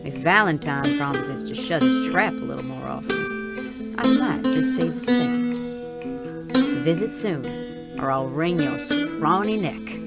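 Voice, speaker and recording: A woman's voice: low-pitched, very animated, measured pace, creaky, loud music behind the voice, heavily acted. A woman playing a tough, brash Western saloon keeper in an audio drama, scolding fondly in a folksy way. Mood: gruff affection.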